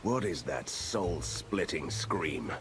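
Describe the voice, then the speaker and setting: A man playing a stern, resolute monster hunter in a video game, dryly witty, deadpan and dramatic. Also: sits low, takes it slowly, very noisy. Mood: dry, serious. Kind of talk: video game hero acting.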